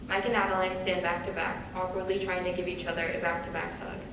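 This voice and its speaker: A woman's voice: lowish voice, level tone, fast talker. A woman reading scripted stage directions as a narrator in a live reading. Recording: distant echoey hall, clear background noise.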